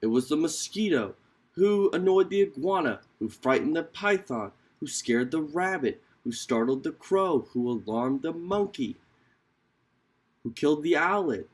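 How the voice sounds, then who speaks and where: A man's voice: fairly high pitch, animated, a little slow. A man voicing a lion king in a storybook, declaiming with authority as judge of the animals. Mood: stern, declaring.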